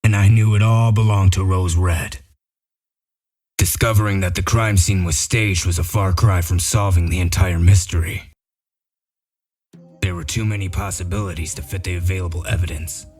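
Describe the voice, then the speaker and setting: Here is a man acting out a gruff, shrewd wolf detective with a low voice. He lays things out methodically and with a sardonic edge, in the manner of a comic dub monologue, over faint music. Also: steady tone, moderate pace, darkish tone, natural voice. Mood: firm.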